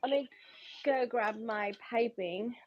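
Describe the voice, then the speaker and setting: A woman sewing instructor chatting in a relaxed, friendly way during a video call from a shared office at her sewing school, laughing often. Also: on the high side, slow pace, lo-fi sound. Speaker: sewing instructor, guest on a live stream. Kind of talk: casual live-stream chat. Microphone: computer mic on a video call.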